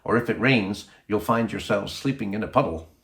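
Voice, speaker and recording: A man reading the part of a kindly, practical, gently cautious mother in a children's storybook. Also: fairly low pitch, normal speed, vocal fry. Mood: gently firm.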